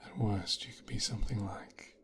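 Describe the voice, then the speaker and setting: A man's voice: on the low side, fairly even intonation. A man playing a whispering, possessive young mafia heir. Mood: coolly appraising. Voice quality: hushed, breathy, half-whispered. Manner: slow, deliberate, musing with pauses. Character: mafia boss's young son, possessive, menacing, coldly calculating. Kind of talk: ASMR roleplay monologue.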